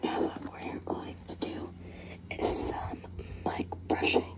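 A woman whispering breathily as an ASMR host, speaking gently and directly to the listener. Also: slow pace.

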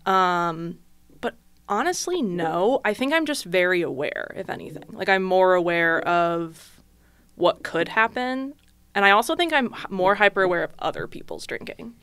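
Bright, clear-voiced young woman chatting. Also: fairly low pitch, lively intonation, moderate pace, dry recording. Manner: chatty, jokey and candid. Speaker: podcast guest. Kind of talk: casual chat. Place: small podcast studio with colored lights. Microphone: studio mic on boom arm, headphones.

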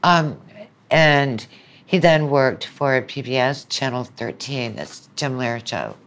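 A woman's voice: extremely low pitch, lively intonation, slow pace. A woman in her seventies from the southern United States, reminiscing in a conversational interview as a guest. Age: 70s.

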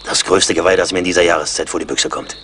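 An actor playing a seasoned, steady frontier woodsman in a radio play, with a husky voice and a dry, thoughtful manner. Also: sits low, quick pace, slight background noise. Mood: alert, matter-of-fact. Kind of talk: German radio play acting.

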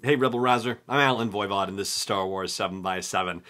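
A man's voice: lowish voice, fast, heavy background noise. Chatty, enthusiastic man hosting a podcast. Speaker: podcast host. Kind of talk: casual solo podcast commentary. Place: small home room indoors.

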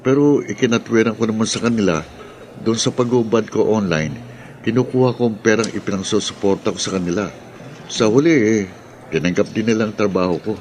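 A man's voice: on the low side, fairly quick, darkish tone, lightly acted. An actor with a Filipino accent playing a young man, a grumbling buddy who works as an online creator, in a radio drama. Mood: apologetic, explaining. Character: aggrieved male buddy, a struggling online content creator.

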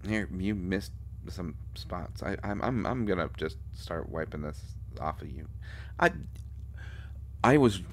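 A man playing an immortal vampire doctor in an intimate audio roleplay, a fussy, protective friend who scolds with fond, halting exasperation. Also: low-pitched, expressive, slight background noise. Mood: concerned, fussing.

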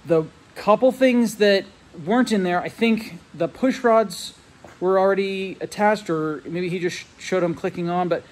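A man's voice: sits high, normal speed. Rambling man talking to his phone camera. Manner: relaxed, rambling, with fillers and restarts, explains technical setup. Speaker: RC plane hobbyist vlogger. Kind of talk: casual walkthrough vlog. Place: large metal hangar, indoors. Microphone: handheld phone camera held close.

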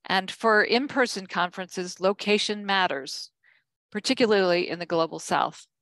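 An older woman giving a conference keynote from slides, measured, with frequent ums and self-corrections. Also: mid-range voice, fairly bright tone, no room sound. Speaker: keynote speaker.

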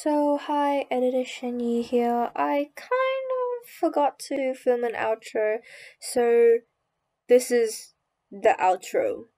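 A woman's voice: a little high, lively intonation, slow, mellow. Chatty young woman narrating. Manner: apologetic, chatty, self-deprecating. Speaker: vlogger narrating as editor. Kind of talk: casual voice-over narration.

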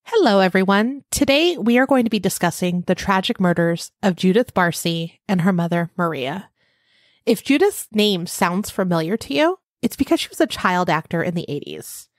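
A woman's voice: medium pitch, animated, normal speed, dry recording, studio quality, faint music behind. Woman co-hosting a true crime podcast. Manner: conversational, reading researched notes. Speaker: podcast co-host.

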